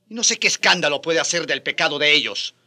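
An actor playing an aging, passionate Spanish friar in a radio drama, husky and emphatic. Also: male voice, fairly high pitch, expressive, fast, bright, forceful tone, exaggerated voice. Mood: indignant pleading. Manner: impassioned, emphatic.

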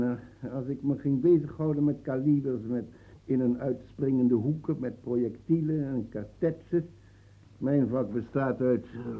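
A man's voice: mid-range voice, warm tone. A man playing a jovial, mocking court physician in a radio play. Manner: mocking, breezy. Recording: phone-like narrow band.

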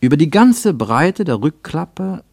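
A man reading a scripted literary narration for a radio play in a measured, deliberate way. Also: a little high, big pitch swings, creaky, a little mellow, dry recording, studio quality.

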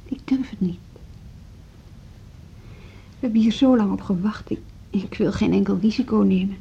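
An actress playing a hesitant, apologetic woman in an intimate radio drama, with a soft, husky, halting voice. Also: mid-range voice. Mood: subdued, apologetic. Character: hesitant, apologetic pregnant woman, a music school co-director. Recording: dull lo-fi radio drama recording.

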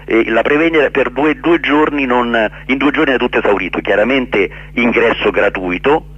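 A man answering radio interview questions earnestly and haltingly, repeating words for emphasis. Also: medium pitch, a bit bright, husky, muffled recording. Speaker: interview guest, actor and director. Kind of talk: radio interview answers, explaining his play.

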